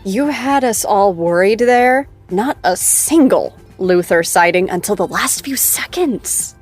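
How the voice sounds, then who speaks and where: A woman's voice: mid-range voice, lively intonation, a little slow, faint music behind, natural voice. An actress playing a bubbly, teasing after-show host in a scripted audio drama, gushing and playful with her guest.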